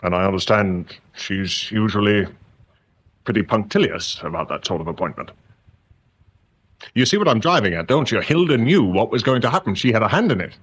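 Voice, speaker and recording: An actor playing a prickly, sardonic local chief inspector in a radio drama, with a halting, sarcastic way of talking. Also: male voice, fairly low pitch, expressive, a bit bright, slightly rough. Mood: troubled, confiding. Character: sardonic, troubled local police chief inspector, married man.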